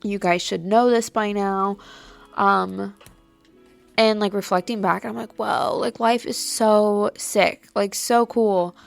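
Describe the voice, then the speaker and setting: A woman's voice: medium pitch, fairly even intonation, slow pace, creaky, faint music behind. A young woman hosting a podcast, chatting casually and rambling with lots of "like", her nose a little stuffy. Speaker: podcast host. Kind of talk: casual solo chat. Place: at home late at night.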